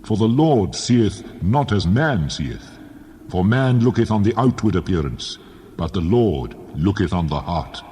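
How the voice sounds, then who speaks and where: Majestic voice of God, played by a man, solemn, commanding, with very expressive pitch swings and hall reverb. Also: unhurried. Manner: authoritative, solemn. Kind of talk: dramatized scripture reading. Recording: long hall reverb, faint noise.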